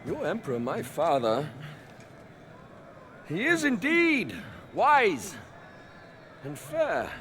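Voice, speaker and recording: An actor playing a smug, theatrical Roman emperor's son, speaking pompously in a video game cutscene. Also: male voice, high voice, wildly swooping pitch, a bit bright, full character voice. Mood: smug, grandiose. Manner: pompous, drawn-out delivery. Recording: clear background noise.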